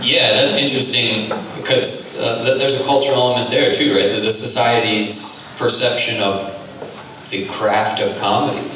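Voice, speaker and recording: Guy moderating a panel, calm and reflective, far off in an echoey hall. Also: mid-range voice, moderate pace, background noise.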